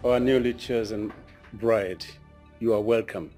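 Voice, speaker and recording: A man's voice: fairly low pitch, fairly even intonation, measured pace, mellow, soft background music, natural voice. A man playing a formal palace elder. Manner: formal, ceremonial. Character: palace elder announcing a royal bride.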